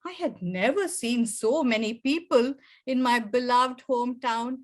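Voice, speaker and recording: Slow, high-voiced older woman storyteller. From India. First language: Tamil. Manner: expressive, with wide swings in pitch and loudness. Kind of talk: personal story performed for an audience.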